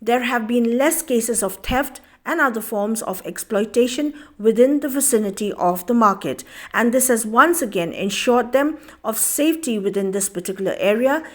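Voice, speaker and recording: News voice-over, female, high voice, Northeast Indian accent, reading from a script. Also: lively intonation, normal speed, hardly any pauses. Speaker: news narrator.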